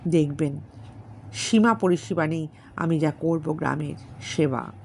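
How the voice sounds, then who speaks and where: A woman voicing a brash, insistent young gentleman in an audiobook story, repeating his points with emphasis. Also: sits low, slow, vocal fry, a little hiss or hum, exaggerated voice. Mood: eager, boastful. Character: brash, pushy, cocksure young gentleman suitor. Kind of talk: dramatised literary audiobook dialogue.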